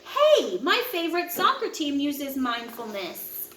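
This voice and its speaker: A woman's voice: high-pitched, wildly swooping pitch, a little slow, pushed and bright, reverberant, slight background noise, heavily acted. A woman voicing an eager, upbeat young child who exclaims things in a children's picture book. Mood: excited. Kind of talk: children's audiobook character reading.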